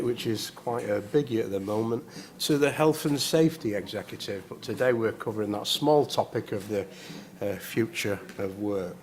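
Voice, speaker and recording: A man's voice: fairly low pitch, a little hiss or hum. A man in his forties, a politician giving a prepared speech at a conference, earnest with hesitant restarts.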